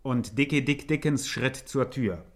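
A mock-dramatic narrator played by a man from an old radio serial. His medium-pitched male voice builds suspense in a theatrical, scripted way. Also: a little slow, natural voice. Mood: suspenseful.

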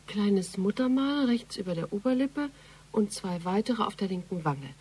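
A woman's voice: mid-range voice, expressive, normal speed, a little mellow, breathy edge. A woman playing an observant, composed police detective in conversation in a radio crime drama.

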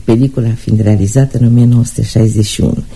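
A man presents a literary programme with formal, measured delivery. His voice is very dark and mellow, gravelly and husky, like a scholar reading from a script. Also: fairly low pitch, steady tone. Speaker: presenter introducing a radio play.

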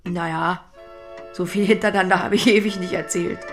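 A woman's voice: fairly low pitch, fairly even intonation, fairly quick, over music, lightly acted. An actress playing a hardy, lonely farm woman in a German audio drama, blunt and plain-spoken. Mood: bitter, reflective.

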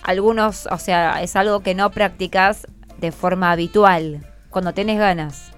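A woman's voice: fairly low pitch, fairly even intonation, a little slow, clear, resonant tone. A woman with a Buenos Aires accent hosts a conversational radio interview, prompting and encouraging her guests with short questions. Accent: Buenos Aires Spanish. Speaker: radio host interviewing schoolchildren. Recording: music playing under the voice. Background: background music.

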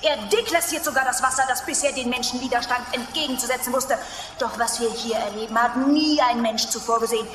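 A woman's voice: a little high, fairly quick, close to an everyday voice. A woman playing a theatrical sports reporter in a German radio drama, delivering dramatic commentary. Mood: excited. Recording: echoey, music behind.

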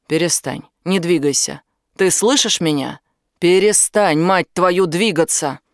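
A woman's voice: on the low side, unhurried, no room sound, studio quality. A woman reading an audiobook, voicing a blunt, commanding and protective man who explains things firmly. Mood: angry, alarmed. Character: angry, protective British man, outdoorsy and knowledgeable. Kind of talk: audiobook character dialogue.

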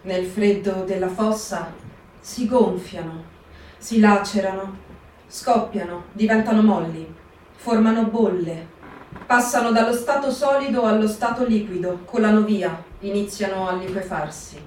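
A woman performing a dramatic reading for radio with a cold, steady recitation. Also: mid-range voice, a little slow. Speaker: actor in a staged reading. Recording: echoey stage reading from far off, faint hiss.

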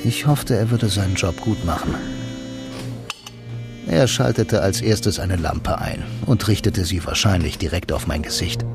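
Laconic blind man telling his noir story, low voice, dry deadpan, music under it. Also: a little slow, creaky. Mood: wry, ironic. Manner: deadpan, dryly ironic. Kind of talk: scripted first-person radio play narration. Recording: music behind the voice.

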